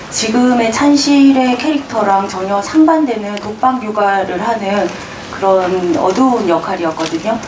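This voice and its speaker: A woman from the Gyeongsang region, a film director, reflectively explaining her choices to the press. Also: medium pitch. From Gyeongsang region, South Korea. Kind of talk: answering press questions. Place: press conference hall. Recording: echoey hall, distant mic, noisy room.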